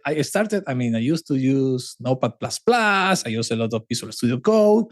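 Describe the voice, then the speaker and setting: A man from Argentina, a native Spanish speaker with an Argentine accent, talking as a podcast guest in an animated, repetitive, conversational way. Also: mid-range voice, moderate pace. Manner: animated, with frequent restarts and emphatic repetition. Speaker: podcast guest, cloud advocate. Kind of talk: casual conversational interview.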